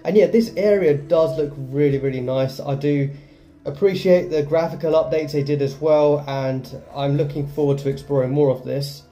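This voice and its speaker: A man's voice: fairly high pitch, fairly even intonation, moderate pace, mellow. A man giving casual commentary as he plays through a game's story quest. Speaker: gaming video creator playing through a story quest. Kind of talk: casual game playthrough commentary. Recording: game music behind the voice.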